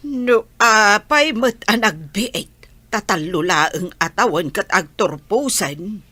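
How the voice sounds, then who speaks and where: A woman acting as a motherly older woman in an audio drama, gently questioning. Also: medium pitch, expressive, slow pace, dry recording, full character voice. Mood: gentle questioning.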